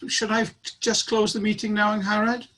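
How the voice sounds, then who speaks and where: A man's voice: high voice, completely flat delivery, normal speed, pushed and bright. Earnest man chairing a meeting. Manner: earnest, rallying closing remarks.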